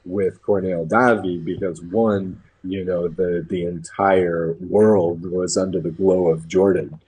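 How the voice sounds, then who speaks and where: A man's voice: deep voice, steady tone, slow pace, a little mellow, a little hiss or hum, low-quality recording. An American man commenting casually on basketball, opinionated and repeating phrases for emphasis. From New England, United States. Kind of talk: casual sports talk.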